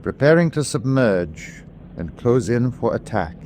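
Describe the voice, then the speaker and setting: An actor playing a prickly, ruthless German submarine captain, clipped, commanding and sardonic, in an old-time radio war drama style. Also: male voice, medium pitch, very animated, measured pace, darkish tone, a little hiss or hum. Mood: grave, formal.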